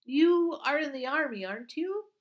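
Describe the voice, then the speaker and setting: A woman's voice: on the high side, big pitch swings, pitched above the performer's own voice. A woman reading aloud, voicing a clever young girl who bluffs her way past soldiers with a rush of talk. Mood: chattering. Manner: chattering, spilling words out fast. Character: quick-talking clever peasant girl, bluffing.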